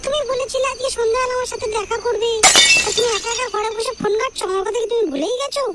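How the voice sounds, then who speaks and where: A very shrill, high Bengali cartoon sister-in-law acted by a woman, bossy and emotional, who nags and pleads. Loud insect noise runs behind her voice. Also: fairly even intonation, racing. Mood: sulky. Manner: nagging, scolding, pleading. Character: young married Bengali sister-in-law, sulky, bossy, foul-mouthed lover. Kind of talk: comedy cartoon dialogue.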